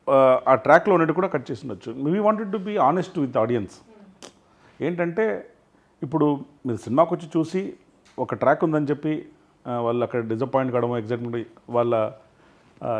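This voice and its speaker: A man speaking as a film industry guest in an interview, often switching into English phrases. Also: on the high side. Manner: mixes English phrases into his talk. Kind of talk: animated interview talk.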